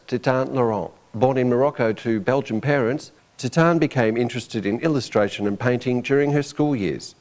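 A man's voice: medium pitch, darkish tone. Hesitant middle-aged male show host. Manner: hesitant, many fillers. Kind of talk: interview hosting. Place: studio with staircase.

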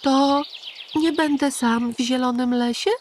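A woman voicing a small, sad boy hedgehog who asks high, worried questions in a storybook tale. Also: steady tone, measured pace, darkish tone, a little hiss or hum.

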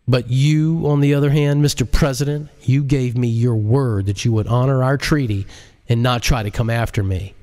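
A man's voice: mid-range voice, steady tone, normal speed, mellow, voice pushed up, lightly acted. A man voicing a cocky, sardonic computer genius with a mocking, folksy, scolding manner in an audiobook. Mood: stern, accusing.

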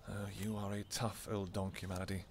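A man voicing a flirtatious royal vampire king who teases affectionately, with a gravelly voice. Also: level tone, fairly quick, slight background noise, close to an everyday voice. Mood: exasperated. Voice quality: gravelly, low. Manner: teasing, affectionate, soft murmurs. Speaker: audio roleplay performer. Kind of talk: audio roleplay acting.

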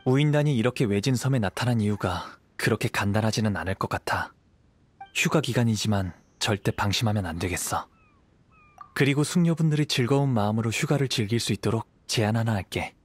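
A man voicing a calm, courteous young wandering swordsman in a video game, speaking softly, politely and with measured thought. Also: lowish voice, no room sound, natural voice. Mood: thoughtful, gentle.

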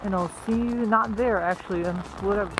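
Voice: medium pitch, brisk, background noise. A vlogger chatting casually into a handheld phone in a playful, rambling way. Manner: playful, rambling, breaks into silly singing. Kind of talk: casual vlog chat. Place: handheld while walking outdoors, in a store and in a room.

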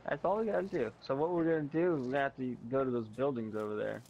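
A man talking a teammate through a game, calm, upbeat and reassuring. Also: medium pitch, quick pace, gravelly, dull audio, slight background noise. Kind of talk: live gameplay chat.

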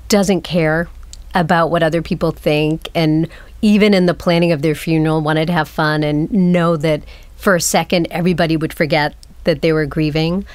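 A woman's voice: low-pitched, no room sound. A woman talking reflectively as a playwright in a panel conversation.

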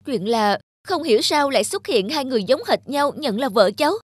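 An actor playing an earnest, devoted young husband in an animated folktale, speaking expressively. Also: male voice, very high voice, brisk, slightly rough, no room sound, studio quality, exaggerated voice. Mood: bewildered, pleading.

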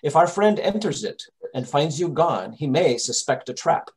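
A man playing a calm gentleman detective in an old-time radio drama, measured, reassuring and authoritative. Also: a little high, low-quality recording. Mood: firm, instructing.

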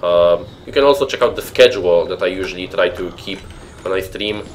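A man's voice: deep voice, a little fast, a little hiss or hum. A young man from Poland with a Polish accent, streaming a horror game from a room at home, chatting with viewers and muttering nervously. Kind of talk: horror game commentary with chat.

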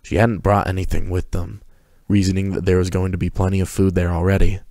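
A man with a low voice reads a story aloud as its narrator. The delivery is a dramatic reading, recorded close with very little room sound. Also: little pitch movement, brisk, a little mellow, dry recording. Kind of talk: dramatic reading of prose fiction.

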